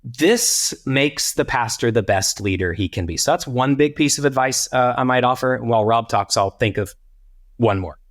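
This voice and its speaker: A man's voice: medium pitch, moderate pace. A man from Texas giving conversational advice on a podcast, voicing imagined remarks as examples. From Texas, United States. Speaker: podcast panelist, church leadership coach.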